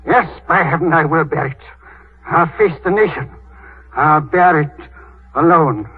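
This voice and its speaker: An actor playing a commanding, theatrical prime minister in anguish, in the style of 1930s radio drama. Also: male voice, a little high, measured pace, breathy edge. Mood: defiant resolve. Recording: muffled, crackly 1938 radio broadcast.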